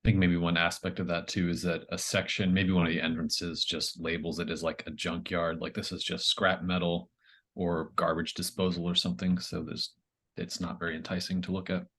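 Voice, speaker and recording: Deep, husky man playing a tabletop game. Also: little pitch movement, brisk, fairly bright tone. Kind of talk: casual improvised game chat.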